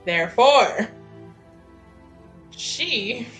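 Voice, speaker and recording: A woman's voice: on the high side, expressive, very slow, a bit bright, faint music behind. A woman playing a tabletop roleplaying game, casually and hesitantly thinking her way through the story.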